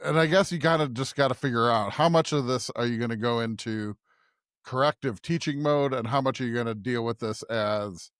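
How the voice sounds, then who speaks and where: A man's voice: mid-range voice, a little fast, a bit bright, no echo, studio quality. A man co-hosting a podcast, giving casual commentary on scripture, restarting phrases as he thinks aloud. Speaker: podcast co-host discussing scripture.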